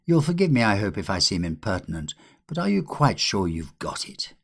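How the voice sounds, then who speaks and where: A man voicing an eager, reassuring gentleman in a storybook, polite and emphatic in an old-fashioned way. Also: on the low side, big pitch swings, brisk, creaky, full character voice. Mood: politely doubtful.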